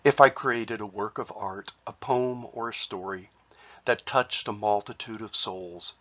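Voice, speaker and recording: A man with a cold, sounding congested, presenting his artwork in a halting way with many fillers and restarts. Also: on the low side, fairly bright tone, dull audio. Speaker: artist sharing his work. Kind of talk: casual spoken presentation.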